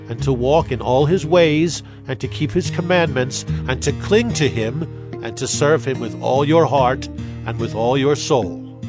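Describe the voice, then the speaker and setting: An actor playing a commanding Israelite leader, earnest and declamatory, in a dramatized scripture reading. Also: male voice, on the high side, close to an everyday voice.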